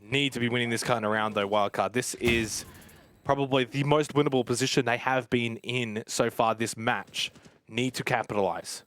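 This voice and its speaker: A man giving fast play-by-play commentary on an esports match, his voice leaping up at big plays. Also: a little hiss or hum. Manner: excitable, voice jumps high at big plays. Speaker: esports caster.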